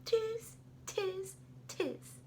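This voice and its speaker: A woman's voice: very high-pitched, very slow, slight background noise, pitched above the performer's own voice, full character voice. A woman voicing cheeky, defiant little monkeys from a storybook in short, repeated chattering syllables. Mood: cheeky mocking. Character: cheeky mimicking tree monkeys, small and defiant.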